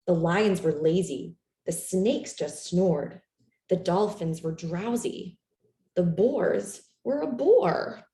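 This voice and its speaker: A woman reading a children's picture book aloud in an expressive, animated storytelling manner for young listeners. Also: lowish voice, takes it slowly. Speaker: storytime reader. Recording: roomy, echoey room sound.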